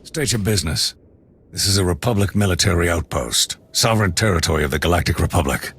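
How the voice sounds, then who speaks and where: An actor playing a blunt veteran officer in charge of a military outpost, speaking dry and matter-of-fact. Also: male voice, deep voice, lively intonation, quick pace, fairly bright tone, breathy edge, heavily acted. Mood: gruff. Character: gruff male outpost commander, blunt veteran. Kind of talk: video game cutscene.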